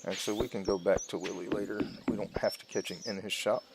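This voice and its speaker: A man giving casual let's-play commentary, unhurried and thinking aloud as he plays. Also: on the low side, steady tone, creaky, background noise. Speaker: gaming video host. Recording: loud game music behind voice. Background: video game music.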